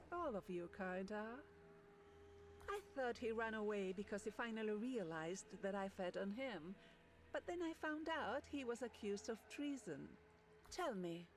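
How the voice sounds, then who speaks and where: A cruel, dangerous swan shapeshifter from a fantasy video game. Her voice is fairly high and very slow, full of taunting scorn. A faint noise sits behind it. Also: a little mellow. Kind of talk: dramatic video game voice acting.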